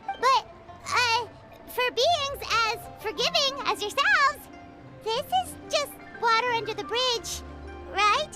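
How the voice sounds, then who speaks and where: A woman's voice: very high voice, lively intonation, takes it slowly, loud music behind the voice, heavily acted. A woman voicing a tiny, bubbly fairy companion girl who talks about herself in the third person. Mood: sheepish. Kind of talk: game voice acting.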